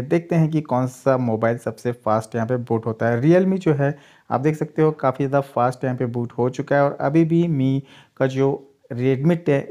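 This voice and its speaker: A man's voice: mid-range voice, dark tone. A man, the host of a tech channel, steadily narrating a side-by-side phone speed test and addressing viewers as friends.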